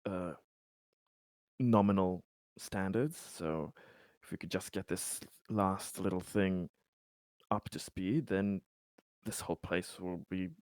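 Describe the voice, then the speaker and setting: A man playing an earnest, diplomatic colony official in a tabletop roleplay, speaking formally in wordy, halting phrases. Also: deep voice, darkish tone, no room sound. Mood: hopeful, deliberate. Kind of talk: improvised tabletop roleplay dialogue.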